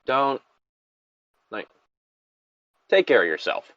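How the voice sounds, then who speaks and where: A man's voice: mid-range voice, sing-song, slow, a bit bright, no room sound, muffled recording. A man giving casual, rambling and blunt commentary on a story, with frequent swearing. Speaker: podcast host reading and commenting on a story.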